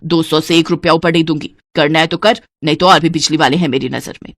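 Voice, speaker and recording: A woman's voice: low-pitched, fairly even intonation, fast talker, dry recording, studio quality, pitched below the performer's own voice. A woman voicing a stingy, scolding middle-aged mother-in-law in a cartoon moral story, bossy and grumbling. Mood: stern.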